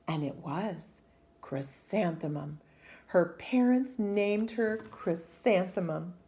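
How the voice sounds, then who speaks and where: A woman reading a children's storybook aloud with expressive, deliberate phrasing. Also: on the low side.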